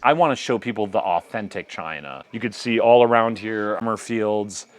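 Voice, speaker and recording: A man's voice: lowish voice, normal speed. An American man giving casual, chatty travel-vlog narration while out on a forest hiking trail, easygoing and full of fillers. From United States. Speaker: vlogger guide. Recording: music playing under the voice.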